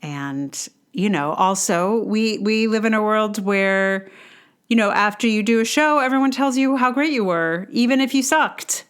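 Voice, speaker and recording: A woman's voice: mid-range voice, measured pace, a bit bright, smooth. A middle-aged woman hosting a podcast alone, musing casually in a hesitant, rambling way with self-deprecating humor. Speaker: podcast host, playwright and actor. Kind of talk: casual reflective solo podcast monologue.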